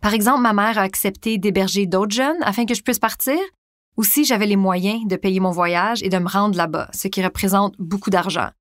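Anglophone woman from Prince Edward Island, measured and articulate, dry close sound. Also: mid-range voice, no room sound. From Prince Edward Island, Canada. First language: English. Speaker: interviewee. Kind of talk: prepared testimony.

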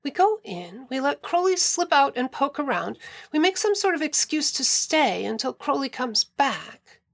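A woman's voice: high-pitched, big pitch swings, normal speed, vocal fry, full character voice. A woman playing a young female college student, hesitant but determined, in an audio drama. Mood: planning. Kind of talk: audio fiction acting.

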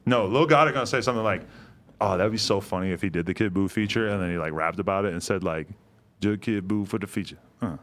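Rambling young man, low-voiced podcast host. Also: fast, a bit bright. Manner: loose, rambling storytelling with many fillers. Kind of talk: casual chat.

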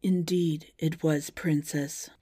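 A woman voicing a lovelorn, noble storybook king who pleads earnestly in a drawn-out, melodramatic way. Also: deep voice, flat delivery, warm tone, husky, heavily acted. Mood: earnest, devoted. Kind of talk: storybook character voice.

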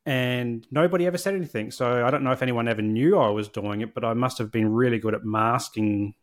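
A man's voice: medium pitch, fairly quick. A man, a podcast guest candidly and reflectively telling his personal story. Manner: candid, reflective, with frequent restarts.

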